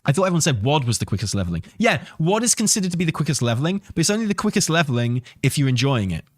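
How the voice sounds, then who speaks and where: Male gaming streamer, slightly high voice, very lively intonation, rambling, sweary, enthusiastic casual delivery. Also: very fast, dry recording, studio quality. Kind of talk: casual livestream chat and commentary on game news.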